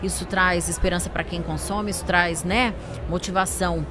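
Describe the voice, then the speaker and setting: Brazilian woman co-hosting amid clear background noise. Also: lowish voice, fairly even intonation, moderate pace. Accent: Brazilian Portuguese. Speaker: podcast co-host. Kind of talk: casual interview chat. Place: busy trade fair podcast booth. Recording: clearly noisy event floor with traffic-like ambience.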